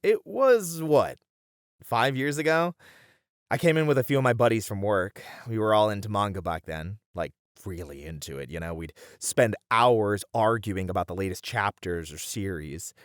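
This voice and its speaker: A man's voice: lowish voice, expressive, normal speed, dry recording, studio quality, natural voice. A man playing a friendly young bunny boy, a chatty and welcoming manga cafe regular, in a casual ASMR roleplay. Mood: fond, nostalgic. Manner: chatty, easygoing, welcoming, with casual slangy hesitations.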